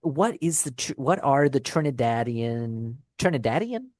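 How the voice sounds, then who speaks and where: A man's voice: mid-range voice, sing-song, measured pace, a little mellow, no room sound. Male teacher-host, dry close sound, friendly questioner, lots of ums. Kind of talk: casual interview.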